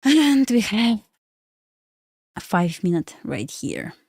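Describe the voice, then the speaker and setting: A woman's voice: medium pitch, very animated, slow, a little mellow, husky, no echo, studio quality. Chatty Belarus-born woman trading live. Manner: chatty, addresses viewers as guys, frequent uh fillers, non-native phrasing. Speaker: livestream host and day trader. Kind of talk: casual livestream chat with viewers.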